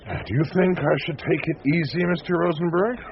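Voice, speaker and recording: An actor playing a mocking New York newspaper reporter in a radio drama, needling with drawn-out theatrical sarcasm. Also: male voice, a little high, creaky. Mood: taunting. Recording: dull lo-fi radio broadcast, noisy.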